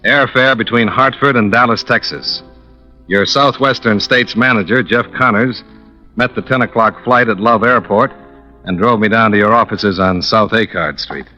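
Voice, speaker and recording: Hard-boiled radio detective, cool and wry, clipped delivery, sharp bright tone, lo-fi 1950s recording. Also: male voice, on the low side, normal speed, faint music behind. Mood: crisp narrating. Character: cool, wry male freelance insurance investigator. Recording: dull, lo-fi old radio recording.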